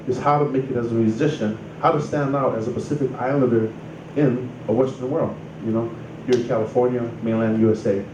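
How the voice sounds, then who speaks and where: A man in his thirties, from Samoa, casually telling his life story in a loose, rambling way full of "you know" and "like". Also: medium pitch. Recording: roomy distant mic with noise.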